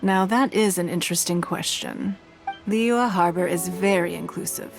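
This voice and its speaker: A shrewd, wealthy game noblewoman with a lowish, creaky-edged voice. She is formal, gracious and fully in command. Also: faint music behind. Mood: shrewd, assured. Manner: formal, measured, authoritative. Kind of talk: English video game voice acting.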